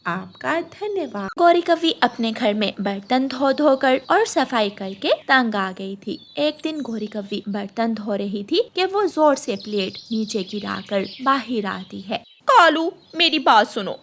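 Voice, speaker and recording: A woman with a very high voice narrates a children's story. She delivers it as a scripted storyteller. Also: lively intonation, a little slow, few pauses.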